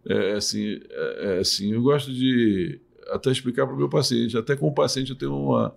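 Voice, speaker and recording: A man's voice: mid-range voice, normal speed, gravelly. A middle-aged man from Bahia, a urologist and professor explaining things patiently in an interview, often restarting his phrases. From Salvador, Bahia, Brazil.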